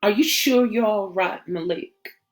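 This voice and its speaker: Woman voicing an eager scheming friend, high pleading tone, emphatic, slow. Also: soft background music, close to an everyday voice.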